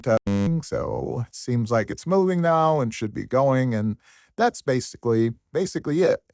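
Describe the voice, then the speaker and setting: A man giving an informal, unscripted software tutorial, rambling a little and thinking aloud. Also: lowish voice, no room sound, studio quality. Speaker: tutorial presenter.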